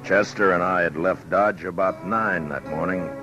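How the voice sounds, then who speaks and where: A man's voice: low voice, muffled recording, lightly acted. A man acting a stern, weary frontier lawman who narrates his story tersely in a 1950s radio western. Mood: easy, matter-of-fact. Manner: terse, grave, deliberate storytelling. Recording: vintage 1950s radio recording with music.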